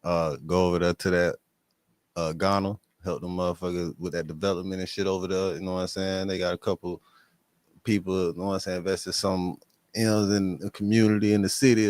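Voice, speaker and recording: A man's voice: low-pitched, a little mellow, no room sound. A man doing casual reaction commentary as a channel host, blunt, slangy and heavy on profanity.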